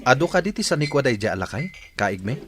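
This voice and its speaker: A man's voice: mid-range voice, very animated, quick pace, close to an everyday voice. An actor playing an obedient henchman in an Ilocano radio drama, deferential toward his chief. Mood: questioning, obedient.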